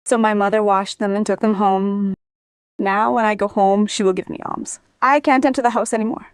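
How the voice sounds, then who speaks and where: Lady guest telling family stories, quotes everyone, clear voice, no room echo. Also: mid-range voice, fairly even intonation, moderate pace. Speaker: interview guest. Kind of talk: personal storytelling.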